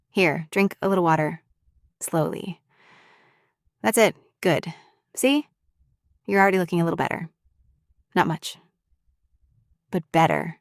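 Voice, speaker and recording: A woman voicing a sharp-tongued young woman, a bully who scolds and worries over someone who has fainted. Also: lowish voice, clean tone, no echo, studio quality. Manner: scolding and blunt, then anxious and tender. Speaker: voice actor in an audio roleplay. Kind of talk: scripted audio roleplay.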